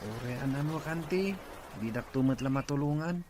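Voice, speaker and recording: A man's voice: mid-range voice, moderate pace, a little mellow, slight background noise, lightly acted. A man playing a weary, resigned young farmer in an Ilocano radio drama.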